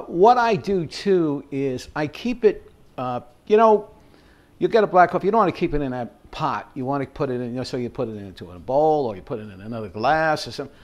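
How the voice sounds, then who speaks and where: A man's voice: on the high side, darkish tone. Older male home-cook host, easygoing and rambling, recorded in a domestic kitchen on a camera a few feet away. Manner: chatty, rambling, with chuckles and fillers. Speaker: home cooking show host. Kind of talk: casual cooking demonstration. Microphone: fixed camera at mid distance.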